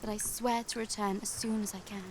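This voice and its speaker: A woman's voice: medium pitch, flat delivery, brisk, close to an everyday voice. An actress playing a resolute, dutiful young warrior woman in a fantasy game, with solemn, archaic speech.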